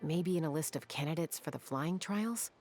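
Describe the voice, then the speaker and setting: A woman's voice: low voice, moderate pace, darkish tone, faint music behind. A woman playing a tough, commanding young gunslinger in a fantasy game, stern and probing. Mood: pensive. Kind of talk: video game dialogue acting.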